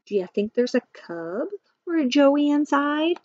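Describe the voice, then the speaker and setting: A woman acts out a storybook panda longing for a little one, plaintive and expressive. The voice sits fairly high and sounds close and dry, a little dull. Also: unhurried, dry recording. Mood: impatient. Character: gloomy pandas longing sweetly for a baby.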